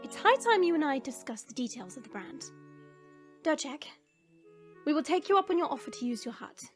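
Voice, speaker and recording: A woman's voice: high voice, very animated, brisk, faint music behind. A woman playing a dignified, formal adventurer with an authoritative manner in a fantasy audio roleplay. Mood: decisive, formal.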